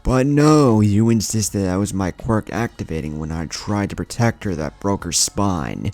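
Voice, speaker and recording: A man voicing an enraged, vengeful crystal golem villain with a gravelly, bitter, yelling delivery in an audio story. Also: on the low side, faint music behind. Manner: yelling, bitter, drawn-out accusations.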